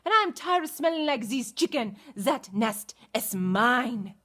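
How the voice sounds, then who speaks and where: A woman's voice: on the high side, big pitch swings, a little slow, a bit bright, heavily acted. A woman voicing a haughty, fussy duck that uses French exclamations in a storybook reading.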